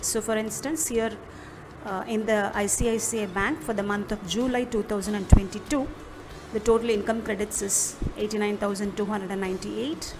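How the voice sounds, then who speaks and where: A woman with an Indian accent narrating an instructional software demo, explaining step by step in a measured manner. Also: mid-range voice, steady tone, noisy background. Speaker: product demo presenter. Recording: music behind the voice. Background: background music.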